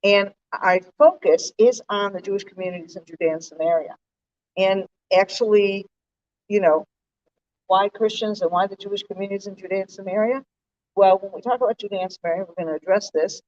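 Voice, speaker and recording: A woman's voice: medium pitch, a little fast, a little mellow. An American woman co-hosting a live talk from a stage, speaking in an articulate, conversational way to an audience. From United States. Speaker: co-host, organization director. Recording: distant, dull live-event sound.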